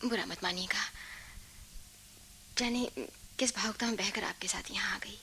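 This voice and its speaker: A troubled young bride-to-be in a radio play. Her mid-pitched voice is hushed and hesitant, with faint noise behind it. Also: moderate pace. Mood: troubled, apologetic. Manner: hushed, breathy, hesitant delivery. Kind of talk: Hindi radio play acting.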